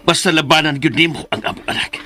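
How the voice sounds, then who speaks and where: A man's voice: on the high side, fast talker, bright, forceful tone. A man acting a worried, protective parent in a radio drama, grave and insistent, fearful of bad omens. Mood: worried, pleading.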